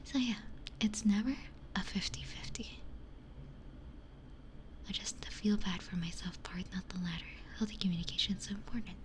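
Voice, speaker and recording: Whispering woman doing soft ASMR chat. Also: medium pitch, moderate pace, long pauses. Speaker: ASMR streamer. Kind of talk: whispered ASMR casual chat with stream viewers. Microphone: close binaural ASMR microphone. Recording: faint hiss and ambient noise.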